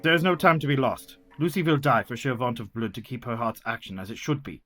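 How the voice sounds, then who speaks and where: An actor playing an authoritative older physician and mentor in a radio play, formal and commanding. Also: male voice, mid-range voice, fast talker, fairly bright tone, soft background music, close to an everyday voice. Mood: urgent.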